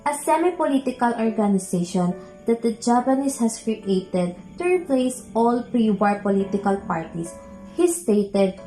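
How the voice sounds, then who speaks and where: A young woman with a mellow, dark voice reads a school report calmly and deliberately. The recording is echoey, with faint music behind her. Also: mid-range voice. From Philippines. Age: young adult. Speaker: student presenter. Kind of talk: reading a class report aloud. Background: faint background music.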